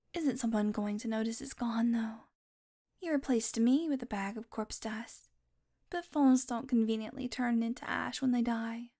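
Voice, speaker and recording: A woman's voice: on the high side, a little mellow. A woman playing a sardonic, predatory, centuries-old female vampire in a scripted audio roleplay, with dry, teasing sarcasm and grudging fondness for the listener. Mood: amused, sarcastic.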